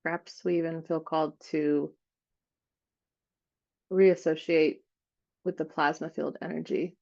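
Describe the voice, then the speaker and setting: A woman with a lowish, noticeably creaky voice leads a guided meditation. She speaks gently in unhurried phrases, leaving frequent pauses as she improvises the visualization. The recording is somewhat dull and lo-fi. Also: level tone.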